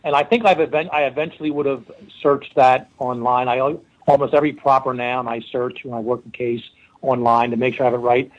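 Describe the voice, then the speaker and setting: A man's voice: mid-range voice, fairly even intonation, brisk, dull audio. A middle-aged man with a Philadelphia accent, a forensic linguist telling stories in an interview, rambling with many fillers and restarts. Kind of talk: casual interview storytelling.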